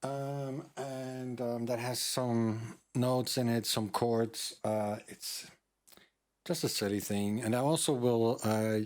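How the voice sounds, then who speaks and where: A man demoing a synthesizer in a casual tutorial, talking hesitantly with many pauses and fillers. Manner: hesitant, many ums and uhs. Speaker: music tutorial host. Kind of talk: casual hands-on demonstration. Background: loud synthesizer and bass sounds.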